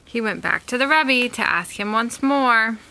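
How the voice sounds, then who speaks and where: A woman reading a rhyming storybook aloud as narrator, in a deliberate, expressive way. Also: a little high, gravelly, rough recording.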